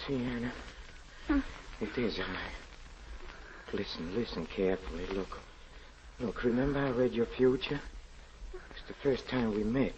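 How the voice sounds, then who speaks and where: An actor playing a haunted, grieving European husband confessing a tragedy, halting, stammering and pleading in theatrical 1940s radio drama style. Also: male voice, medium pitch, a little mellow, close to an everyday voice. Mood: tender, coaxing. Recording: muffled, noisy old radio recording.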